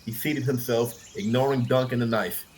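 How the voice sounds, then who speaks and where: A man's voice: mid-range voice, steady tone, moderate pace, darkish tone, a little hiss or hum, low-quality recording. A man narrating fiction as an audiobook reader, expressively telling the story.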